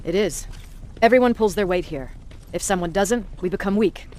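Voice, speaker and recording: A stern female resistance commander , played by an actress, in a sci-fi war game. She is authoritative and curt, with a dry, sardonic edge and a medium-pitched voice. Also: normal speed, darkish tone, a little hiss or hum, natural voice. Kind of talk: dramatic video game acting.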